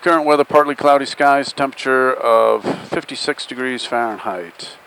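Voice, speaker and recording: A man's voice: medium pitch, normal speed, vocal fry, fairly bright tone, low-quality recording. Airline captain, male, mid-range voice, easygoing phrasing, flight deck PA with slight engine drone. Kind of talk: semi-scripted flight deck announcement. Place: flight deck over cabin PA. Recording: faint aircraft noise over PA. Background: faint aircraft drone.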